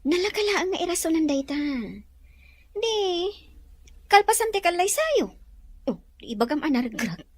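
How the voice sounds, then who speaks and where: An actress plays a scheming woman friend in a radio drama. Her voice is extremely high and swings in melody as she coaxes and persuades like a conspirator. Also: sing-song, moderate pace, long pauses, no room sound. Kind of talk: Filipino radio drama dialogue.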